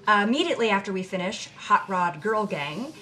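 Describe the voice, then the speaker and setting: An actress playing an earnest, admiring young granddaughter in an audio drama, speaking sincerely and a little haltingly. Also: female voice, mid-range voice, animated, sharp tone, slight background noise, rough recording. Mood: welcoming. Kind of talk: audio drama acting, conversational.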